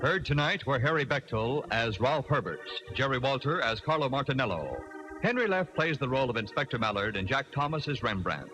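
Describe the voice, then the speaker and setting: A male announcer from the golden age of radio. His pitch is medium but swings widely as he delivers lines with formal, theatrical polish, with prominent music on an old recording. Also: animated, a little fast, poor audio.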